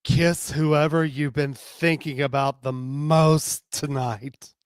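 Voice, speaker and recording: A woman voicing a smooth, playful, teasing woman in a steamy romance drama. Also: sits low, steady tone, slow pace, no room sound. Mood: playful, seductive.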